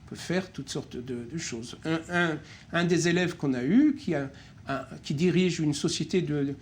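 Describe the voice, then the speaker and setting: Hesitant French-speaking man, higher voice. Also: moderate pace. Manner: hesitant, many fillers and restarts. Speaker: co-director of a nonprofit, interview guest. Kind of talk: spontaneous interview answers.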